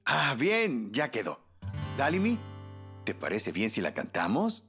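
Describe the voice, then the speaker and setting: Slow, swooping, kindly grandfather. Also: mid-range voice, faint music behind, full character voice. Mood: encouraging. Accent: Latin American Spanish. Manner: gentle, patient, expressive. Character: kindly grey-haired grandfather, gentle and encouraging. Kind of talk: children's animated dubbing.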